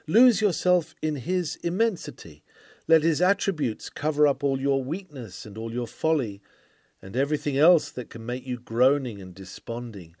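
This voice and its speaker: Earnest, mellow man reading a sermon. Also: fairly high pitch, no echo, clean studio sound. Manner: earnest, declamatory, formal. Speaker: sermon reader. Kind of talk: read-aloud classic sermon, oratorical.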